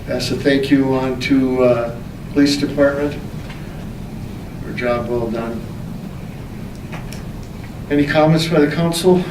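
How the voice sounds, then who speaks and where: A man's voice: medium pitch, fairly even intonation, slow pace, pauses often. A man chairing a city council meeting as mayor, formally reading reports aloud and moving through the agenda. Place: indoor council meeting room. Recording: echoey meeting room, distant mic, background noise.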